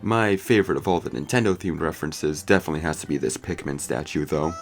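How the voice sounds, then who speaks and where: A man's voice: very deep voice, lively intonation, quick pace, faint music behind. A man giving a scripted movie review commentary in a casual, opinionated, conversational way. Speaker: video reviewer.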